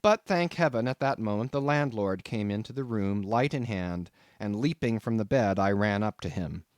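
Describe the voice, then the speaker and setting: A man's voice: on the low side, animated, moderate pace, clear, resonant tone, dry recording, studio quality. A man reading a literary novel aloud as scripted audiobook narration. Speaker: audiobook reader.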